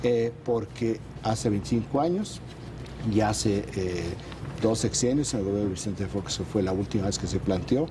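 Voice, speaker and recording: A man, a regular on a political panel show, presenting a news roundup in a measured, explanatory way with frequent hesitations. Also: lowish voice, background noise.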